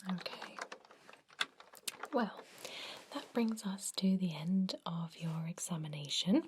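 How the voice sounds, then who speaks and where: A woman playing a friendly, encouraging vampire doctor in a gentle, whispered ASMR medical roleplay, with hesitant pauses. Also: medium pitch, a little hiss or hum, close to an everyday voice. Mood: gently inquiring.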